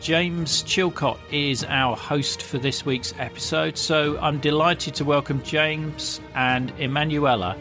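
A man hosting a podcast, reading a measured, scripted introduction. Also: on the high side, fairly even intonation. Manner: clear, measured, promotional read. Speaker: podcast host. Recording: music bed under voice.

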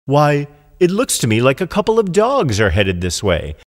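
A man's voice: fairly high pitch, wildly swooping pitch, normal speed, in a large hall. A man voicing a wise old rooster in a children's fable, clever and composed with feigned delight.

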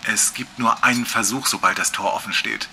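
A man acting in a German horror audio drama as an anxious, brooding lone researcher, confiding in a deliberate monologue. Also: fairly low pitch, fairly even intonation, bright, forceful tone, slight background noise. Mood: anxious resolve. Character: obsessive lone male researcher, anxious, brooding, racing against time.

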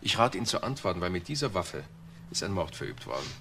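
A blunt police detective in a crime drama. His voice sits fairly low and swings in pitch as he speaks directly and insistently. There is faint noise behind him. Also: sing-song, fast, a bit bright, heavily acted. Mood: pressing.

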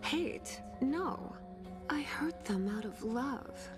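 An actress voicing a seductive, sadistic female demon in a video game, sultry and breathy with whispering. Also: high-pitched, animated, extremely slow, over music, exaggerated voice. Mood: seductive, mocking. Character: seductive, sadistic female demon who relishes others' torment. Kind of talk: video game character voice lines.

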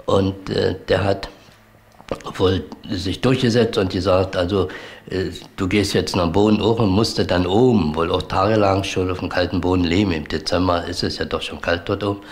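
A man's voice: deep voice, steady tone, moderate pace. An older man, a former children's home director, recalling events in an interview with many hesitations. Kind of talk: recorded interview.

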